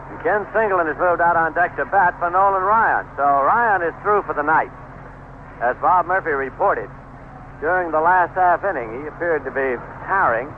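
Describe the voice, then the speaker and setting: A man calling a baseball game as play-by-play announcer on radio, in a measured delivery with pauses. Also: a little high. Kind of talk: live radio sports commentary. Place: stadium broadcast booth. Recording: narrowband, noisy old AM radio broadcast.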